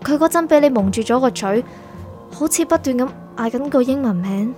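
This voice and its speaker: Woman playing high voiced defiant heroine, bitter n resolute, hong kong cantonese radio drama, faint music. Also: lively intonation, brisk, dark tone. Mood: dawning realisation. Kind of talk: Cantonese audio drama acting.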